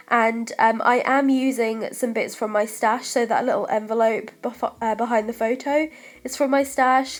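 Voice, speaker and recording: A young British woman with a stuffy, congested voice from a cold, chatting through a scrapbooking voice-over, a little short of breath and apologetic. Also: on the high side. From United Kingdom. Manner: chatty, apologetic, lots of ums. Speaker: craft vlogger.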